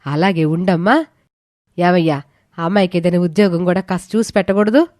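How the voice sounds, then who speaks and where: A woman as a mellow, motherly neighbour. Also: lowish voice, a little fast, dry recording, studio quality, lightly acted. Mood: motherly concern. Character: caring middle-aged neighbour woman, motherly and practical.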